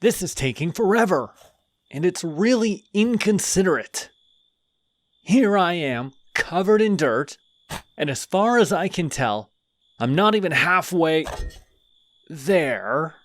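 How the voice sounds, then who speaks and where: A man's voice: high voice, animated, slow, long pauses, no echo, studio quality. A man voicing a friendly, chatty male skeleton who rambles sheepishly in an audio roleplay. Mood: grumbling. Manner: rambling, sheepish, talks to himself.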